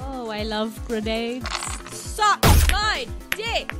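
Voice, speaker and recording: A woman's voice: a little high, sing-song, very slow, over loud music. A woman playing a tabletop game, talking casually in a playful, cheeky manner.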